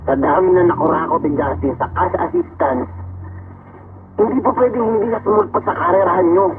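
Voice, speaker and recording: An actor playing a greedy young village official in a radio drama, dismissive and swaggering. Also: male voice, high-pitched, a little fast. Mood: brash. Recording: tinny phone-like sound, faint hiss.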